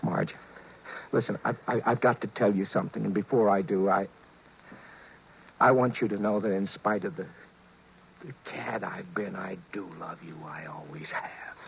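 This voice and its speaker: A man's voice: fairly low pitch, normal speed, gravelly, long pauses, a little hiss or hum. A man acting a flustered, guilty husband who stammers and narrates his story in a 1950s radio drama. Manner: stammering, nervous, confiding narration. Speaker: actor playing George, narrator and lead. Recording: dull vintage 1950s radio broadcast.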